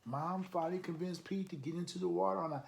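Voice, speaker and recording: A man's voice: high voice, fast talker, gravelly, darkish tone, a little hiss or hum. A man reading a picture book aloud for children, adding encouraging, emphatic asides and putting questions to his listeners. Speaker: storytime reader, coach.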